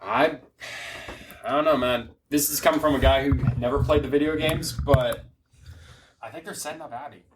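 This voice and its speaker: A man of medium pitch speaks as a guest on a podcast, with a faint bed of noise and some clicks behind him. His talk is casual, slangy and laid-back. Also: normal speed, long pauses, recorded from a distance. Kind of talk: casual chat.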